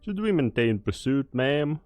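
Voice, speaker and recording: Fan-dub casting: a man as a nervous soldier, lively and stammering. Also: medium pitch, a little slow, no echo, soft background music. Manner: stammering, eager. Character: nervous young male soldier. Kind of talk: amateur fan dub acting.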